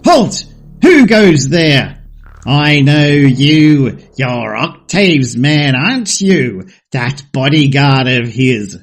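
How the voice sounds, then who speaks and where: A man playing an officious, exasperated palace guard in an audio drama, with a husky voice. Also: mid-range voice, lively intonation, very slow, faint music behind, exaggerated voice.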